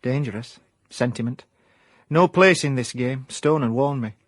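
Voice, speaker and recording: A man with a South Yorkshire accent playing a self-important amateur private detective, deadpan and pompous, in a comic radio drama. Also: medium pitch, a little slow, no echo, studio quality, close to an everyday voice. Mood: deadpan brooding. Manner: deadpan, pompous hard-boiled narration. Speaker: lead actor and narrator.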